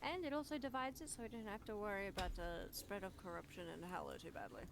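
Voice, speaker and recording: A woman chats casually as she hosts a gaming stream, playful and informal with the odd swear. Her pitch sits in the middle for a woman. There is clear noise in the recording. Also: expressive, fast. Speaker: live streamer hosting a group voice chat.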